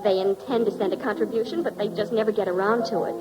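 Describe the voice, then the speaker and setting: An actress playing an earnest, flattering young secretary in a 1940s radio drama, gushing with innocent politeness. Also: female voice, mid-range voice, fast talker, natural voice, poor audio. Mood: earnest, pleading. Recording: old 1940s radio recording, music behind.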